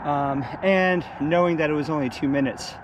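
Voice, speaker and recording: A man in his thirties, his voice going hoarse, talks in a loose, low-key way as he works through his thoughts on a run. He is recorded on a small action camera outdoors. Loud street sounds and traffic sit behind him. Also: normal speed. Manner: low-key, thinking aloud. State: losing his voice. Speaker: running shoe reviewer. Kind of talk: casual vlog commentary. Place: outdoors on a porch and dark streets.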